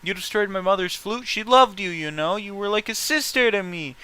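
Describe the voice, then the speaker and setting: A man's voice: high-pitched, normal speed, sharp tone, clear, resonant tone, pitched above the performer's own voice. A man voicing a hurt, furious, bullied teenage girl in a story reading, pleading and upset.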